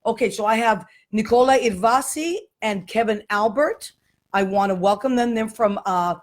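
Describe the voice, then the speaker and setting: A woman hosting a live online talk show, chatting with guests and greeting viewers as they join. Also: fairly high pitch. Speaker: host. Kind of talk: casual live interview chat.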